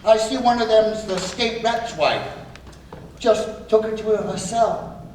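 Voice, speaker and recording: A man's voice: high-pitched, slow pace, darkish tone, a little hiss or hum. A man playing a blunt prison guard in his fifties in a staged reading of a musical. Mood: amused, gossipy. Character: blunt fifty-five-year-old troublemaker prison guard. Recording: very echoey hall, distant mic.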